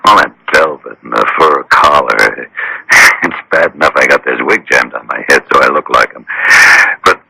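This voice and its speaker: An actor playing a grumbling, wry newspaper photographer with a deep gravelly voice in a radio drama. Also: male voice, normal speed, pushed and bright, no room sound, exaggerated voice, low-quality recording. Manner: wry, complaining, casual.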